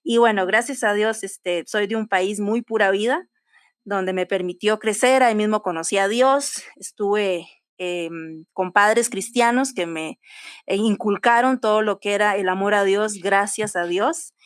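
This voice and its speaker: A woman's voice: medium pitch, a little slow, clean tone. A Costa Rican woman co-hosting a podcast, talking earnestly and casually with many fillers. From Costa Rica. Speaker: podcast co-host.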